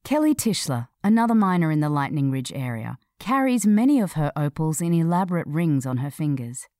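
Woman, scripted article narration, lower-pitched, soft mellow tone, measured delivery, dry close sound. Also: sing-song, no room sound. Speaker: narrator reading a feature article.

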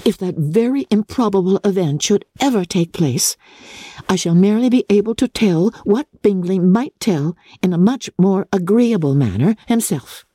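A woman's voice: medium pitch, animated, moderate pace, mellow, no room sound, clean studio sound, voice pushed up, natural voice. A woman voicing an earnest, sensible young woman who speaks formally and emphatically in a dramatic novel reading. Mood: wry.

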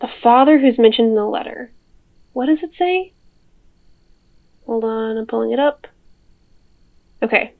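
A woman's voice: on the high side, unhurried, pauses often. A woman acting as a friendly, teasing mom in an audio drama, talking casually through a phone call filter. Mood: intrigued. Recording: dull, band-limited phone call sound.

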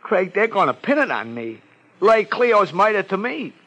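A man's voice: sits high, very animated, moderate pace, sharp tone, heavily acted, low-quality recording. An American man playing a pitiful, dim-witted comic client in a 1950s radio drama, plaintive and simple in his speech. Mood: worried, pleading. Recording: vintage 1950s radio recording.

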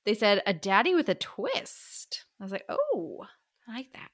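A woman's voice: mid-range voice, huge pitch swings, measured pace, a bit bright. A woman co-hosting a book podcast, chatting casually and reading out answers in an enthusiastic, playful way.

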